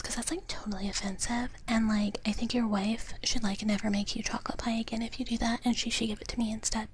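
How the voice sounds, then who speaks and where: A woman speaking in a soft, breathy whisper close to the microphone, chatting casually and playfully as a livestream host and often repeating her phrases. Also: fairly high pitch. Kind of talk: live ASMR whispering, casual chat with viewers. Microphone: close ASMR microphone.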